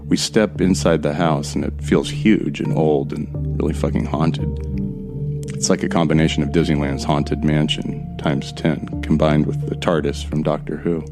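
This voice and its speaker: Very deep-voiced actor as uneasy male storyteller. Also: level tone, moderate pace, creaky, over music. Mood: wary, descriptive. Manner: descriptive, confiding, colloquial with swearing. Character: male narrator-hero, uneasy, determined, wry explorer of haunted places. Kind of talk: first-person scripted audio drama narration.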